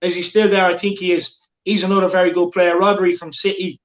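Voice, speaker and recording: High, chatty man in an echoey room. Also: flat delivery, a little fast, dull audio. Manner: chatty, reads out viewer comments. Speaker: watchalong host. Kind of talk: casual football chat.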